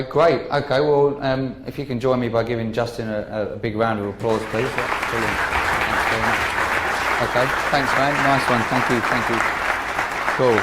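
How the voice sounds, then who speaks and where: A man's voice: on the low side, fairly bright tone, a little hiss or hum. A man hosting a community meetup, introducing speakers in a hesitant way full of ums and so-yeahs. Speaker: meetup host. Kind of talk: casual event hosting. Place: meetup venue with audience. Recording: echoey room with crowd.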